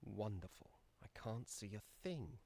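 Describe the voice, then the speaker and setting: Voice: mid-range voice, lively intonation, a little slow, dark tone, breathy edge. An actor voicing a glum, sarcastic young adventure game hero with a dry, complaining delivery. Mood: sarcastic, annoyed.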